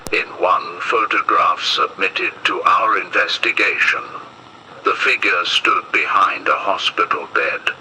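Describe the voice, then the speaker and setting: A man delivers an ominous scripted horror warning like an official broadcast. His voice is very forceful and bright-edged, and he takes his time, slow and grave. Faint noise sits behind him. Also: low-pitched, poor audio.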